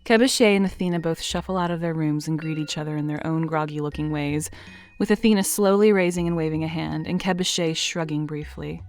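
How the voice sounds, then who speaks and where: A woman reading scripted narration for an audio drama, in a lively, expressive way. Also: low voice, brisk.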